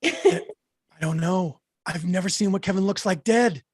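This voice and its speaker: A man's voice: high voice, unhurried, husky. A man voicing a needy, lovesick little pet dog in a cartoon table read, plaintive and earnest. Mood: earnest, puzzled. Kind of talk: animated comedy table read.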